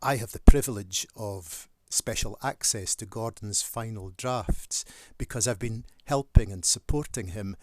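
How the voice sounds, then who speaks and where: A man's voice: lowish voice, a little mellow, dry recording. Earnest northeast Scottish male minister. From northeast Scotland. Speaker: Church of Scotland minister. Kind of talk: scripted channel introduction.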